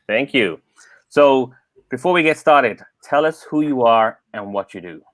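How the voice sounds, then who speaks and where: Host: man, mid-pitched, dry close mic, friendly and repetitive in his questions. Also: animated, measured pace, fairly bright tone, dry recording. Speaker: show host. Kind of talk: live interview hosting.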